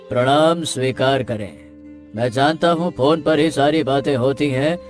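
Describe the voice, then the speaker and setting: Guy doing a kindly cartoon village man, takes his time, reassuring, soft music under it. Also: mid-range voice, natural voice. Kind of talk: Hindi cartoon family drama voice acting.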